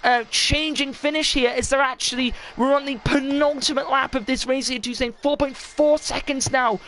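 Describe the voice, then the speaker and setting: High-pitched excitable race commentator. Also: normal speed. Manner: excitable, asks co-host questions. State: straining, losing voice. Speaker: sim racing commentator. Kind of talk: live esports race commentary.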